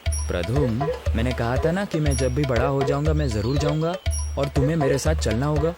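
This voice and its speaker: A man's voice: medium pitch, brisk, lightly acted. Guy doing a bold reckless young adventurer, dramatic and pushy, story cartoon style, music in back. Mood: eager. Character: bold, reckless young male adventurer dreaming of a sea village. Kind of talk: animated story dialogue. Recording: voice over background music.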